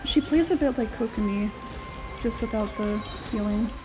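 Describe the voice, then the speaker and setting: A woman's voice: fairly high pitch, moderate pace, music in the background. A woman chatting casually while she plays a video game, with a self-deprecating manner. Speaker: gamer voicing all the characters. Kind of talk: casual gameplay chat.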